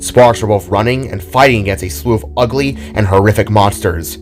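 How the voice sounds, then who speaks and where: A man with a low voice narrates a scripted audio story. He reads descriptive prose as the narrator, with faint music underneath. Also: fairly quick. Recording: background music behind the voice.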